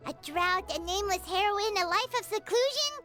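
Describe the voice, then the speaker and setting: A woman voicing a tiny, childlike, excitable fairy companion in a video game, with a squeaky, eager voice. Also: brisk. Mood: curious, excited. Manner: excitable, refers to self in third person. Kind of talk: video game cartoon voice acting. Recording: game dialogue under loud music. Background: loud tinkling game music.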